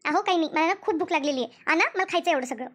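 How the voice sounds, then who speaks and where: A woman's voice: extremely high pitch, rapid-fire, background noise. A woman voicing a greedy, stubborn, whiny village housewife in a comedy cartoon, with a rural Maharashtrian Marathi accent. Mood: greedy, delighted. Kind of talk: exaggerated comic cartoon dialogue.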